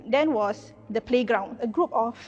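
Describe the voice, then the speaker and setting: A woman's voice: on the high side, measured pace, a little mellow, highs cut off. A woman in her twenties, a lecturer, speaking earnestly about her personal experiences in a panel discussion. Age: 20s.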